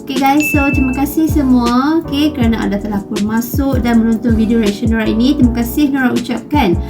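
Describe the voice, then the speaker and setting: A woman's voice: fairly high pitch, quick pace, music in the background. A Malaysian woman with a Malaysian accent hosting a reaction video in a chatty way, saying "okey" and "guys" a lot. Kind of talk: casual reaction chat.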